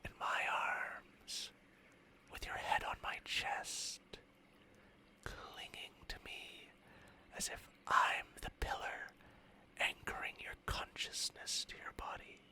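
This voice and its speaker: Smug, slow-talking dragon familiar played by a man. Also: sharp tone, whispered. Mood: intimate whisper. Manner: slow, teasing, condescending, formal archaic phrasing. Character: smug ancient dragon spirit in male human form, possessive, teasing. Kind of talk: ASMR roleplay with intimate close whispers. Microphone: close microphone, spatial ASMR recording.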